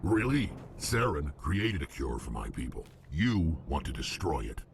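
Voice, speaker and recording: An actor playing a gruff, proud, embittered male alien warrior in a video game, blunt and forceful, with a deep gravelly voice. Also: very animated, unhurried, background noise, heavily acted. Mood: angry, frustrated.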